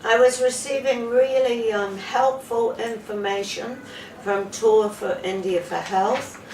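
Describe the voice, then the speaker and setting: Slow-spoken woman in her seventies, roomy. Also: fairly high pitch, steady tone, a little mellow. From New Zealand. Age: 70s. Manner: slow, measured, with pauses and fillers. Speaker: patient giving a testimonial. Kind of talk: unscripted testimonial to camera. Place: indoor room with a sofa. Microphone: camera mic from a few feet away. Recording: roomy, distant camera audio with background hiss.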